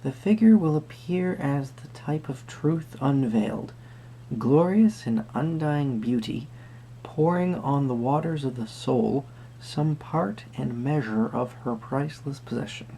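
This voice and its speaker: A performer playing a gentle, eccentric tarot card reader in an intimate roleplay, musing and hesitant, with a breathy voice that often drops to a whisper. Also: medium pitch, unhurried, a little hiss or hum, natural voice, poor audio. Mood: solemn reading. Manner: musing, hesitant, softly trailing off.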